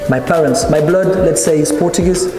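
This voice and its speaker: A man in his thirties with a French accent, answering interview questions as a dance teacher, often pausing to search for words. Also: a little high. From France. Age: 30s. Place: large hall at a dance event. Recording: echoey hall with music behind. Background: music playing.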